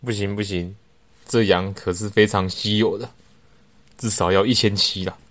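A man's voice: on the low side, fairly even intonation, normal speed, fairly bright tone, close to an everyday voice. A teenage boy playing a sly young man who feigns innocence, in an amateur radio drama. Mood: haggling.

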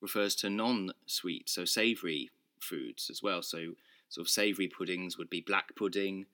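Podcast co-host: a young man with a low, bright voice, calm and explanatory. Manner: measured, explanatory, many restarts. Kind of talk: casual chat.

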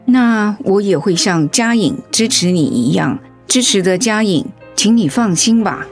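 A woman's voice: mid-range voice, expressive, unhurried, faint music behind. A woman playing a caring, frank older-sisterly friend in a radio drama, speaking sincerely and earnestly. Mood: apologetic, sincere. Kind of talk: Mandarin radio drama acting.

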